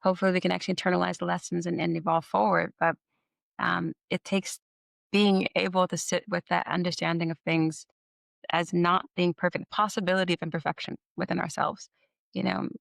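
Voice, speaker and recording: Gravelly-voiced reflective podcast host. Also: mid-range voice, level tone, fairly quick, fairly bright tone, dry recording. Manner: musing and thoughtful, casual fillers like "you know" and "like". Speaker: interview host. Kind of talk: reflective conversation.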